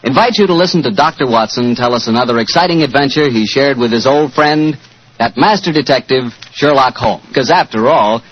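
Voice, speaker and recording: A man's voice: medium pitch, lively intonation, fairly quick. A man with an American accent, announcing and reading sponsor copy as a 1940s radio host. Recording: dull lo-fi 1940s radio recording.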